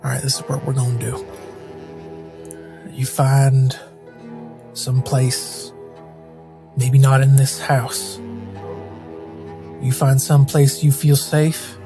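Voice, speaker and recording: A man playing a calm, protective investigator who takes charge in a tabletop roleplaying game, speaking directly. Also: medium pitch, slow, pauses often, over music. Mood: firm, protective. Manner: direct, instructive.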